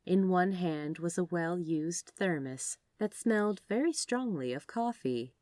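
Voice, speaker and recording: A woman reading fan fiction aloud as scripted, expressive storybook narration. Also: lowish voice, unhurried, dry recording, studio quality. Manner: expressive read-aloud delivery. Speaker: podfic narrator.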